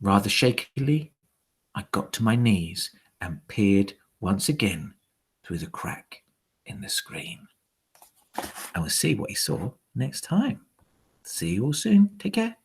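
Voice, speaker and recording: A man reading a children's story aloud in a dramatic, theatrical way, with hushed, suspenseful dips. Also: on the low side, very animated, slow, long pauses, husky.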